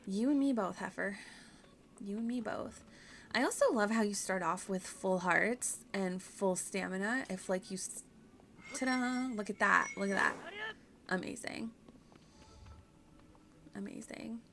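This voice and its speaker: A woman's voice: mid-range voice, a little slow, creaky, pauses often, fairly bright tone, slight background noise. A woman streaming a video game with casual, rambling, self-deprecating and giggly chat. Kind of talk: casual livestream chat over gameplay.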